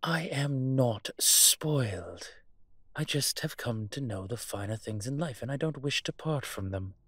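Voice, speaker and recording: A man's voice: mid-range voice, expressive, measured pace, fairly bright tone, no room sound. A man playing a refined, centuries-old vampire in a roleplay audio drama, pompous, wry and teasing. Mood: haughty, defensive. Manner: pompous, wry, posh turns of phrase.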